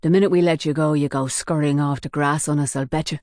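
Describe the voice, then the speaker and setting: A woman's voice: sits low, steady tone, fast talker, darkish tone, no room sound, clean studio sound, natural voice. A woman voicing a cocky, menacing male gang leader who mocks his captive. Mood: mocking. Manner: mocking, sneering.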